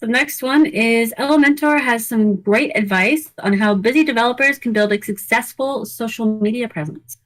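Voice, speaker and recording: Female podcast co-host, slightly high voice, casual delivery of news items. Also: moderate pace, few pauses, poor audio.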